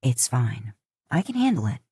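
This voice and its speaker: A woman doing a soft-voiced, teasing cowboy. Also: a bass voice, big pitch swings, normal speed, slightly rough, dry recording, clean studio sound, pitched below the performer's own voice, full character voice. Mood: stubborn. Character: teasing, stubborn young cowboy husband.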